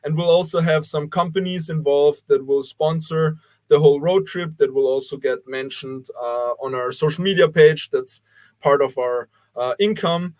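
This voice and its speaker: A man's voice: a little high, moderate pace, a little mellow. A young man from Austria, a guest organizer on a live online panel, answering in a rambling way with many fillers. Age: young adult. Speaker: guest, youth cleanup organizer. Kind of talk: casual livestream panel answer.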